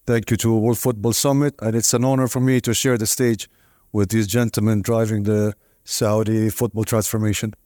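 A man speaks on a conference panel, his voice low and mellow. He tells stories reflectively and pauses now and then, and the sound is dry and close. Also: level tone, no echo. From Italy. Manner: reflective, warm storytelling with hesitations. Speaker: league executive panelist. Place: conference stage.

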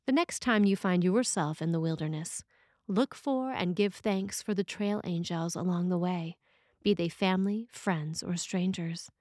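A woman reading a scripted sermon as a preacher, in a measured, reflective way. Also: fairly low pitch, darkish tone, no echo, clean studio sound.

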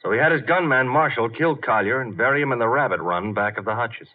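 An actor playing a tough, brisk, slangy detective's assistant in a 1950 radio drama. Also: male voice, mid-range voice, close to an everyday voice. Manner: slangy, wisecracking, animated. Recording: muffled old 1950 radio broadcast.

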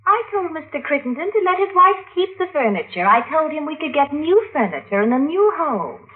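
A woman's voice: high voice, very animated, a little fast. An actress playing a melodramatic, lovelorn woman giving testimony in an old-time radio drama, with theatrical, coy delivery. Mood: dreamy. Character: melodramatic, lovelorn woman defendant on the witness stand. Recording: muffled, narrow-band old radio recording.

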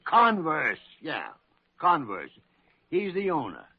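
An actor playing a crusty, blustering older senior doctor in an old radio drama, gruff and stammering as he hunts for words. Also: on the high side, wildly swooping pitch, slow, full character voice. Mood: grumbling, emphatic. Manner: gruff, grumbling, stammering when searching for words. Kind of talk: 1940s radio drama acting. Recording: muffled vintage radio recording.

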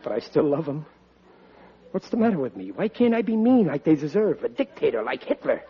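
An actor playing a middle-aged, excitable and soft-hearted American working-class father in 1940s radio comedy, with a blustery, plaintive delivery. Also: a little high, brisk, very noisy. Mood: self-pitying grumbling. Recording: muffled old radio recording.

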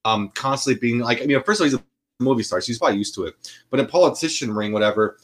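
A man's voice: mid-range voice, racing. A man co-hosting a podcast, talking loosely with many restarts and fillers. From Austria. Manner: rambling, full of restarts and fillers. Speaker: podcast co-host. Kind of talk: casual chat.

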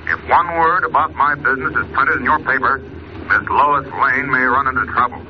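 An actor playing a cocky, menacing racketeer making threats in an old radio drama, curt and threatening. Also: male voice, mid-range voice, normal speed, lightly acted. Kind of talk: 1940s radio acting. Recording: dull, faintly noisy old radio recording.

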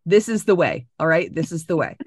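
A woman from Florida co-hosting a podcast, chatting over a video call, animated and emphatic, swearing freely. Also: low-pitched, brisk, husky, no echo, clean studio sound. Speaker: podcast co-host.

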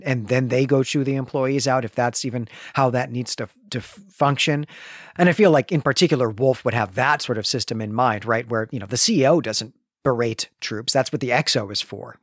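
A man's voice: mid-range voice, dry recording, clean studio sound. A man co-hosting a literary podcast, speaking conversationally and explaining his thinking as he reasons aloud. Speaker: podcast co-host.

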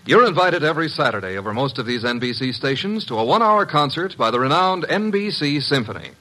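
A man's voice: on the high side, very animated, fast, pushed and bright, rough recording. A man announcing a 1950s radio show in a grand, theatrical, formal style. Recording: vintage 1950s radio recording. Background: music.

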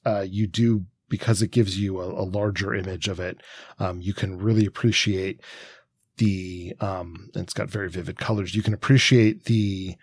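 A man co-hosting a podcast in a conversational, analytical way, halting and circling as he thinks aloud. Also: fairly low pitch, darkish tone, no echo. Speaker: podcast co-host. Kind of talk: conversational comics analysis, sometimes reading quotes.

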